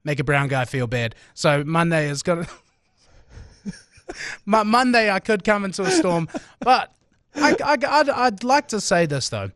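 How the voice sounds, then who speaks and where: A man's voice: high-pitched, very animated, unhurried, fairly bright tone, no echo. A man with a New Zealand accent co-hosting a podcast in casual studio banter. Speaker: podcast co-host.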